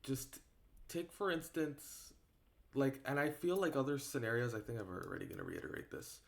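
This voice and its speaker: Halting, rambling man talking off the cuff. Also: mid-range voice, sing-song, vocal fry. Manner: halting, rambling, repeats himself for emphasis. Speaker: online video creator. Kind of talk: casual unscripted opinion talk to viewers.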